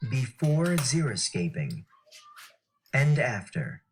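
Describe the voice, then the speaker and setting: A man's voice: mid-range voice, big pitch swings, takes it slowly, faint music behind. A man reading short photo captions as scripted narration.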